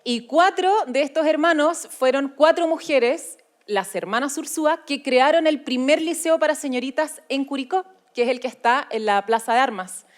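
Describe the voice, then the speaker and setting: A woman's voice: high voice, moderate pace. A Chilean woman presenting informally as co-host of a live event. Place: live event before a seated audience.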